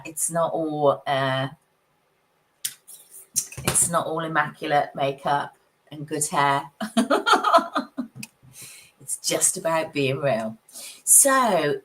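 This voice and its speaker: A woman who is husky from a cold, chatting casually with viewers as a psychic livestream host in her home office. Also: lowish voice, poor audio. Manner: chatty, warm greetings, frequent little laughs.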